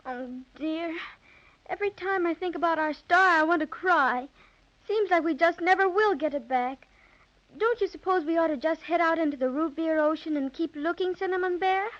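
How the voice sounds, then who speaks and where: A woman's voice: very high-pitched, moderate pace, fairly bright tone. A woman voicing a sweet, eager young girl in a 1930s radio drama. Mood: sad. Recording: dull lo-fi 1930s radio transcription.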